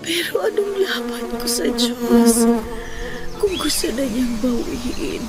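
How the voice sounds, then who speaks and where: A woman voicing a gentle young bride near death, speaking softly and haltingly, sometimes in a whisper. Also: on the high side. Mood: tender. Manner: soft, halting, at times whispered. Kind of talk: radio drama acting. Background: loud music.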